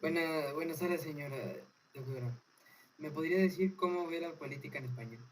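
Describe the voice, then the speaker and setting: A man's voice: on the high side, fairly quick, a little hiss or hum, low-quality recording. A man asking a polite, formal interview question as a student interviewer.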